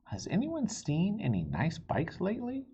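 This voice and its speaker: A man's voice: high-pitched, wildly swooping pitch, dark tone, breathy edge, muffled recording, voice pushed up, exaggerated voice. A man voicing a patient, reasonable father of a young girl in a children's storybook. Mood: calm, teasing. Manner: patient, measured.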